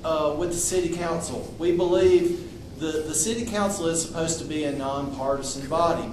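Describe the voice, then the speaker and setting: A man speaking loudly and bluntly to a public meeting, with frequent restarts. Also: fairly high pitch, recorded from a distance. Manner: loud, outspoken, with frequent restarts. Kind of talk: impromptu public address. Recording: echoey room recorded from afar, noisy.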